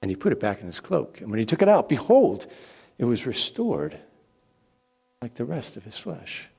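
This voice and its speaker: A man reading scripture as an expressive, theatrical narrator. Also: medium pitch, moderate pace, vocal fry, husky. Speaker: scripture reader.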